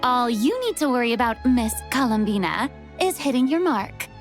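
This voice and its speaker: A voice actress playing an exuberant, theatrical young woman film director in an animated game, commanding and encouraging. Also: fairly high pitch, normal speed, lightly acted. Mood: brisk, commanding. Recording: music behind the voice.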